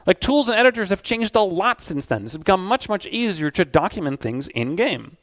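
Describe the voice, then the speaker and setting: A middle-aged man giving an energetic, conversational conference talk on stage in a loud voice. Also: high voice, normal speed. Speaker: conference speaker.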